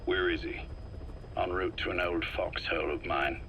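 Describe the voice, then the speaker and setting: An actor playing a grave, shrewd intelligence operative in a video game, in a covert briefing. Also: male voice, low voice, fairly even intonation, moderate pace, pushed and bright, a little hiss or hum. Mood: guarded briefing.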